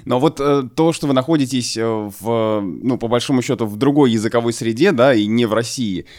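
A man's voice: medium pitch, fairly quick, a little mellow. A man co-hosting an interview, asking polite, hesitant questions.

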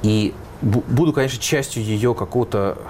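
A man's voice: medium pitch, moderate pace, noisy background. A man, a musician being interviewed, answering in a reflective way as he searches for words.

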